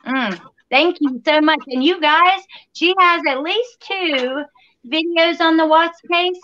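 A woman's voice: very high voice, slow pace, pushed and bright. A young woman hosting a livestream interview, upbeat as she reads viewer comments aloud. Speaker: livestream host. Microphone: webcam on a video call. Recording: dull lo-fi stream audio.

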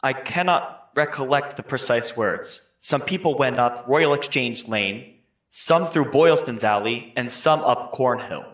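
A man's voice: mid-range voice, unhurried, fairly bright tone, close to an everyday voice. A man playing an 18th-century townsman giving careful, formal testimony in a scripted trial re-enactment. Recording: echoey room.

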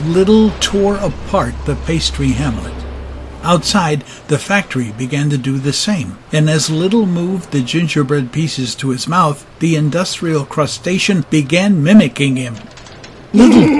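A man's voice: fairly high pitch, a little hiss or hum. A man narrating a dramatized audiobook in a scripted storytelling style. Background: music.

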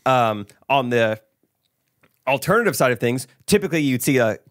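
A man's voice: mid-range voice, lively intonation, normal speed, a bit bright. A young man co-hosting a podcast, asking dry teasing questions and interrupting playfully, on a studio mic. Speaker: podcast co-host. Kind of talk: casual chat. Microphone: studio mic on boom arm, headphones.

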